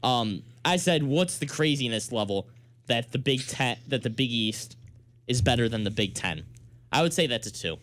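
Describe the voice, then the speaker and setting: A man's voice: medium pitch, lively intonation, moderate pace, fairly bright tone. An American man hosting a sports radio show, debating emphatically and laying out his case point by point.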